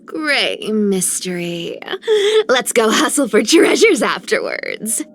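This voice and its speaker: A woman's voice: medium pitch, animated, slow. Cheeky, swooping young rogue girl. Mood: cheeky, chummy. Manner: teasing, informal. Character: mischievous, cheeky young treasure-hunting rogue, fantasy game. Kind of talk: scripted game character voice lines.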